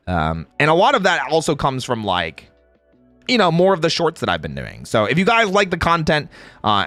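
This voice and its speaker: A playful man streams to his viewers with a lively, swooping pitch and a medium-register voice. He talks casually and slangily, often repeating phrases for emphasis. The sound is close and dry, with faint music behind him. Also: no room sound. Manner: playful, reads chat aloud and replies. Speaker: livestreamer. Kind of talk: casual livestream chat with viewers.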